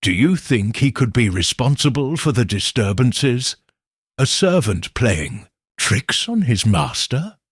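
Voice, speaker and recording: A man reading the part of a frightened, tense nobleman, speaking anxiously in a husky voice. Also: medium pitch, big pitch swings, slow pace, no room sound, studio quality, exaggerated voice. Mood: uneasy. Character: frightened titled male homeowner, tense.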